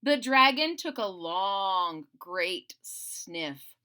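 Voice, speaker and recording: A woman reading a children's story aloud in a theatrical, playful way, pausing to explain things simply to young listeners. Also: medium pitch, expressive, bright, forceful tone. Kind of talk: expressive children's read-aloud with simple asides.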